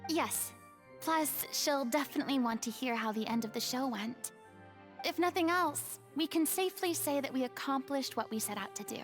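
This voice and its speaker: A woman voicing a proud, easily flustered young former stage star in a video game, in an expressive, stagey manner. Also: high-pitched, moderate pace, faint music behind, close to an everyday voice. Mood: reassuring.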